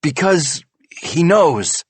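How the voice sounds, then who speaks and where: A man's voice: fairly high pitch, big pitch swings, very slow, husky, no room sound, pitched above the performer's own voice, heavily acted. A man doing an ashamed husband pleading. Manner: pleading, defensive.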